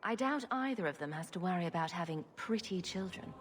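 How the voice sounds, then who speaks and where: A woman's voice: on the low side, lively intonation, brisk, a little hiss or hum, lightly acted. A woman playing a bold, sardonic and righteous adventurer in a fantasy video game, dry and confident. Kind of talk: dramatic video game acting.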